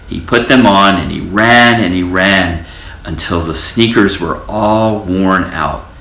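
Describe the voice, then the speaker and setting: An older man with a very deep voice reads a children's tale aloud in an echoey room. His delivery is animated and melodic, lingering on repeated words to stretch out the story. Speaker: storybook reader.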